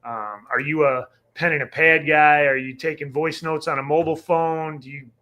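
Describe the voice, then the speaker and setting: A man's voice: a little high, steady tone, normal speed, pushed and bright. A man hosting a podcast, asking supportive follow-up questions. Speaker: podcast host. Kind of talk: interview questions.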